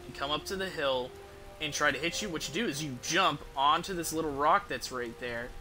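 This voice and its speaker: A man's voice: on the high side, a little fast, bright, forceful tone. A man giving casual, rambling video game commentary, explaining things as he plays and swearing offhandedly. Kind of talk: casual gameplay commentary with tips.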